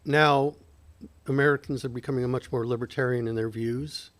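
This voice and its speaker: A man from Ethiopia, a council member, stating his opinion at a public meeting in a deliberate, emphatic way. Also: medium pitch, steady tone, a bit bright.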